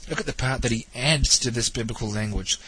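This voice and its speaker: Nz guy with a blocked stuffy nose, husky and kinda sharp, teasing, asking the listener questions. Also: mid-range voice, fairly quick, low-quality recording. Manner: wry, questioning, lightly teasing. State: nasal, stuffed up from hay fever. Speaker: talk show host. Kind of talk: spoken theology commentary monologue.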